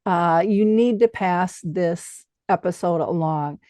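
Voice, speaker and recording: A woman's voice: on the low side, takes it slowly, warm tone, no room sound, studio quality. A woman talking conversationally as the host of an interview show.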